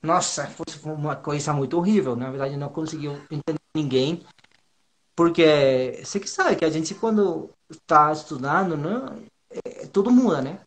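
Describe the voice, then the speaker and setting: A man from northern Mexico, a native Spanish speaker with a Mexican accent, talks casually as an interview guest, nervous and hesitant, often repeating himself. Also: a little high. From Monterrey, northern Mexico. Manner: hesitant, halting, with frequent repetitions and slangy tags. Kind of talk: casual informal chat.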